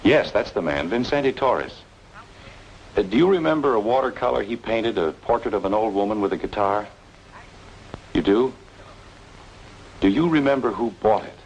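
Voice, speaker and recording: A low-pitched, weary man in a radio noir drama. He is measured, suspicious and dryly bitter. The old recording carries a light bed of noise. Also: animated, darkish tone, highs cut off. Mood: purposeful.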